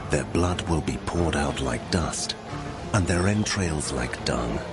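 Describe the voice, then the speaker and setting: An actor voicing a wrathful God pronouncing judgment in solemn, commanding declamation for a dramatized scripture reading. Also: male voice, extremely low pitch, animated, slow pace, over music, full character voice.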